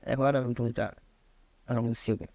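A man's voice: mid-range voice, fairly even intonation, brisk, dark tone. A man in his twenties with a Ghanaian accent, telling a story conversationally as a musician guest in an interview, often repeating phrases. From Ghana, Kumasi. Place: small radio studio, indoors.

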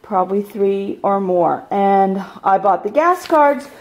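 A woman's voice: mid-range voice, lively intonation, slow, muffled recording. A married woman at home, chatting casually and rambling with many ums as she shows her groceries to a handheld camera. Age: adult, married. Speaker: vlogger showing a grocery haul. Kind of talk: casual chat over a haul. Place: home, indoors at a table of groceries.

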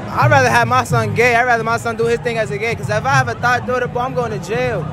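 A man's voice: very high voice, flat delivery, quick pace. A young man giving blunt, joking, slangy answers in a street interview in a busy city square. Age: young adult. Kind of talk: casual street chat. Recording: loud crowd and music outdoors.

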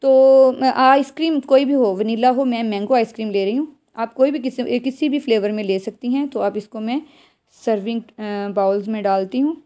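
Home cook, female, Pakistani accent, mellow and a little high, instructive tutorial narration. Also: normal speed. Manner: instructive, addressing viewers directly. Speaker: home cook presenting a recipe. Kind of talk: step-by-step cooking tutorial voice-over.

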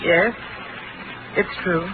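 An actress playing a distraught young American bride, pleading and tremulous, in a 1940s radio drama. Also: female voice, extremely slow. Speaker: passenger. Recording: muffled old radio broadcast with hiss.

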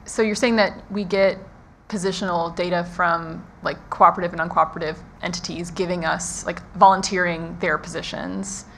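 A woman with a slightly low voice asks questions from the audience. Her phrasing is casual and informal, and there is faint noise in the room behind her. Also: fairly even intonation, moderate pace, a bit bright. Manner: informal, frequent "like". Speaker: audience member asking questions. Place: auditorium audience seating.

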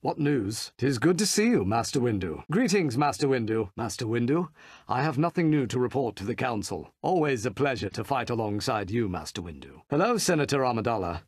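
A man's voice: mid-range voice, big pitch swings, a little fast, husky, no room sound, studio quality. A man playing a noble, courteous middle-aged Jedi master with polished, dryly wry manners, delivering short lines for a video game.

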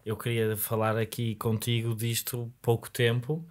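Casting: mid-pitched Portuguese co-host, calm and steering, studio mic. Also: male voice, a little slow. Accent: European Portuguese. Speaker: podcast co-host. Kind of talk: casual podcast chat. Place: small podcast studio with foam panels. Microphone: boom-arm studio mic.

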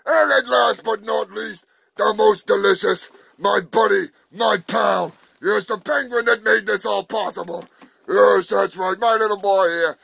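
A man's voice: high-pitched, steady tone, a little slow, gravelly, fairly bright tone, husky, dry recording, exaggerated voice. A man playing a manic, meth-smoking roadside zoo owner who rants profanely and boasts like a showman. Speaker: comedian in character. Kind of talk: crude sketch comedy monologue.

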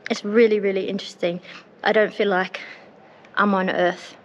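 A young woman narrating a travel vlog in a bright, enthusiastic, chatty way, filming herself on an action camera held at arm's length. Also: medium pitch, flat delivery, moderate pace, dull audio, a little hiss or hum. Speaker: travel vlogger.

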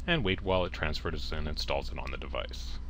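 A man's voice: a bass voice, a little fast, fairly bright tone, a little hiss or hum. A man presenting a step-by-step tech tutorial in a plain-spoken, instructional way, addressing the viewer directly. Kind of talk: step-by-step software tutorial narration.

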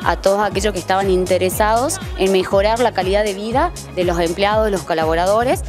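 A woman, a consultant, answering in a measured, listing way in a TV interview. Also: mid-range voice. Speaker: consultant guest. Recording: music bed underneath.

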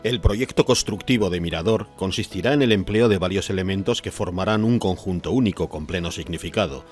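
A man with an accent from Spain reading a scripted news voice-over as narrator. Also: low-pitched. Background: music.

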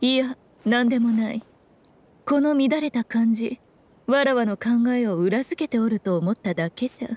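A woman's voice: a little high, moderate pace, a little mellow, lightly acted. A woman playing a sly, regal fox shrine priestess in an anime game, speaking in an archaic, haughty and composed way. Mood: solemn, composed. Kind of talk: anime video game acting. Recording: music behind the voice.

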